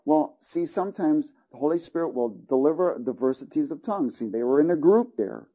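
A man's voice: fairly high pitch, expressive, fairly quick, husky, dull audio. A man preaching a sermon, reading scripture aloud and checking in with listeners as he teaches. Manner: earnest, calls out "Amen?" and "Hallelujah" to listeners.

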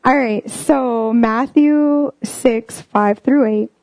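A woman's voice: on the high side, very slow, darkish tone, no room sound. A young woman giving a casual, earnest sermon, filling her talk with "like" and "um". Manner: earnest, full of "like" and "um" fillers. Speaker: preacher.

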